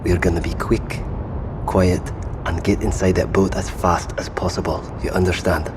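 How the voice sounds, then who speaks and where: Man acting a deep-voiced, steady survivor. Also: normal speed, noisy background, natural voice. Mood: gentle urgency. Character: steady protective male survivor leading companions to a boat. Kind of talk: audio drama acting.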